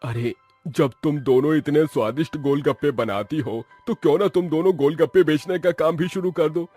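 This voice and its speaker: A man's voice: fairly high pitch, normal speed, warm tone, breathy edge, soft background music, voice pushed up. Man playing an emphatic exasperated family father. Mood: eager, persuasive. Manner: complaining, emphatic. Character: exasperated, scheming middle-aged husband and father in a family comedy.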